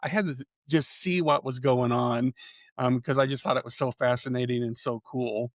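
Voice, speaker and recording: A man's voice: mid-range voice, steady tone, moderate pace, dry recording, studio quality. A man in his fifties from central Iowa, a storm chaser and photographer, talking casually as an interview guest, rambling with plenty of "you know" fillers and restarts. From central Iowa, USA. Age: 50s.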